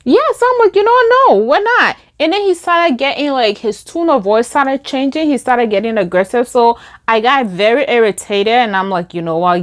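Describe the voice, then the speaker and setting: Young Liberian-accented woman vlogger, casual storytime, fairly high voice, animated delivery. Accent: Liberian English. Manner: animated, acts out quoted lines in a higher voice. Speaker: beauty vlogger. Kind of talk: casual storytime while doing makeup.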